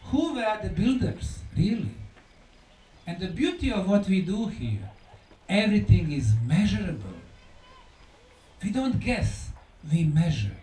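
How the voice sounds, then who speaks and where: Male lecturer, high swooping voice, emphatic and deliberate, recorded in an echoey room. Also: very animated, warm tone. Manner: emphatic, deliberate, repeats key words for effect. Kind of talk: conference lecture. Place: conference hall lecture. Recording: echoey room, distant mic, faint noise.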